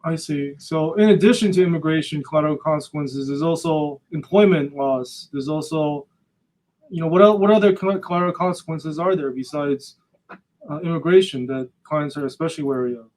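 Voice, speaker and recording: A man with a fairly high-pitched voice hosts an interview. He speaks conversationally, posing questions and follow-ups to a guest. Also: fairly quick, a little mellow, rough recording. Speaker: podcast host, interviewer, attorney.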